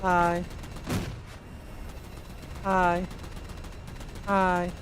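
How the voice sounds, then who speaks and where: A man's voice: sits high, monotone, extremely slow. A British man streaming a video game with casual, playful commentary, full of childlike exclamations and sing-song filler noises. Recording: noisy, game vehicle sounds behind. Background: video game vehicle noise.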